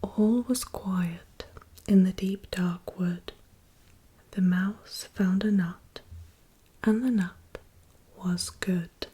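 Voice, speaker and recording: A woman reading a picture book aloud in a soft whisper, pointing out the illustrations as she goes. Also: mid-range voice, fairly even intonation, drawn-out words, long pauses, a little hiss or hum. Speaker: storybook reader. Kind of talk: whispered ASMR storybook reading.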